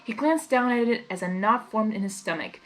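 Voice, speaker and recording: A woman reading fan fiction aloud as narrator, expressive and excitable, with casual reactions. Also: mid-range voice, a little fast.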